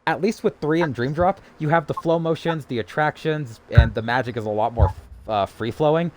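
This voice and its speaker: A man's voice: a little high, fairly quick, slight background noise. A man hosting a live game stream, chatting casually and giving his opinions with enthusiasm. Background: faint game music.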